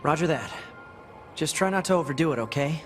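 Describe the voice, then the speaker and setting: A man's voice: a little high, lively intonation, brisk. A young man voicing an eager, cautious, talkative android soldier in a video game. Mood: businesslike, earnest. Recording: loud game music behind. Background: loud game music.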